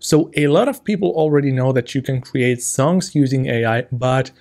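A young man talks through a software tutorial in a casual, upbeat way. His voice sits at an ordinary pitch for a man, and he explains step by step, thinking aloud with little asides. He speaks from an indoor room. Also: normal speed. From Finland. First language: Finnish. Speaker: tutorial host. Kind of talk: casual screen-recorded tutorial walkthrough.

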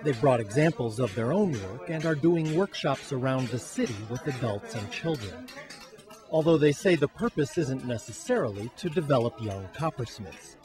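A man narrating a TV news feature as producer and reporter, in a measured scripted delivery. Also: medium pitch, expressive, over music.

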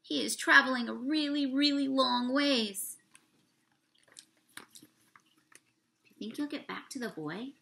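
Young female storyteller for kids' picture book read-aloud; raised pitch, noticeable fry, expressive and questioning delivery. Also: slight background noise. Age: young adult. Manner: expressive, drawn-out words, asks the listeners questions. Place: small room indoors.

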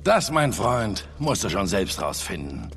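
An actor playing a gruff older male treasure hunter, wry and grumbling, with a gravelly voice, in a dubbed action video game. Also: fairly low pitch, sing-song, unhurried, fairly bright tone, heavily acted. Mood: wry, teasing. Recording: music behind the voice.

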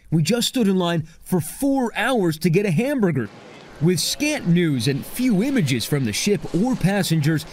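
A man's voice: fairly high pitch, a little mellow, a little hiss or hum. Emphatic male news correspondent narrating. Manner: dramatic, emphatic reporting delivery.

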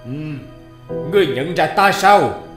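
Stern underworld judge. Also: male voice, sits high, animated, a little slow, fairly bright tone, roomy sound, soft background music. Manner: authoritative, accusing. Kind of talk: animated cartoon dialogue.

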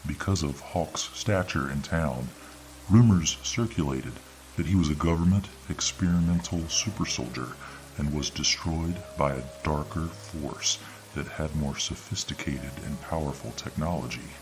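A man narrating a scripted horror story in ASMR style, with an ominous, drawn-out delivery. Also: very deep voice, low-quality recording. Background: background music.

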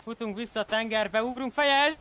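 A man chattering and calling out excitably as a player in an online roleplay game. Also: very high-pitched. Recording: loud game vehicle noise over voice. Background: vehicles and game ambience.

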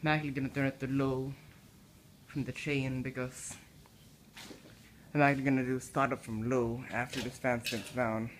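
Male vlogger, everyday mid voice, halting delivery, phone audio in a home with audible room noise. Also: medium pitch, flat delivery. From Philippines. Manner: halting, repeats words and restarts often. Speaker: fan enthusiast vlogger. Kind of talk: casual filmed commentary. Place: indoors in a house kitchen. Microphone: handheld phone camera.

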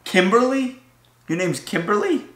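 A man's voice: sits high, animated, unhurried, very noisy. A man playing a bewildered, flippant young man trapped in a fantasy realm. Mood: mocking. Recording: echoing voice, loud music behind.

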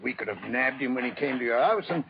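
A man playing a plodding English inspector in a radio play. He sounds gravelly and blunt, on a muffled, noisy old recording. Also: mid-range voice, lively intonation, fast talker, natural voice. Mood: grumbling. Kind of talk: 1940s radio acting.

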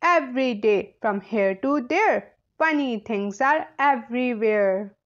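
A woman reads a children's book aloud with a high, very lively voice. Her delivery is slow and sing-song, leaning playfully on key words. The recording is a little dull and lo-fi. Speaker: storyteller reading aloud. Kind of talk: animated children's picture book read-aloud.

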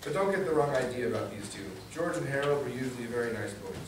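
A man's voice: medium pitch, animated, fast talker. Young man reading aloud in echoey room. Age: young adult. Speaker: guest reader, student government vice president. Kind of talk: reading a children's book aloud to an audience. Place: medium indoor meeting room at a library. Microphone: distant camera facing a podium. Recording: echoey room from far off, noisy.

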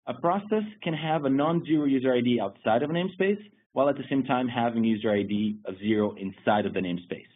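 A man presenting a technical webinar, explaining things steadily with occasional restarts. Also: mid-range voice. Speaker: security lead presenting a webinar. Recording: husky voice over a tinny phone-like line.

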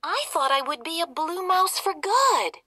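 Lady doing a tiny squeaky mouse princess for a storybook, high and plaintive, swoops around. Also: very animated, unhurried, sharp tone, no room sound, heavily acted. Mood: relieved. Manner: dramatic, plaintive. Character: small frightened princess turned mouse, easily upset.

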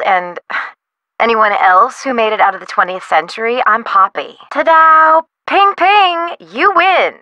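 An actress playing an eccentric, theatrical avant-garde artist in a video game, drawling and flippant. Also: female voice, mid-range voice, no echo, dull audio, close to an everyday voice.

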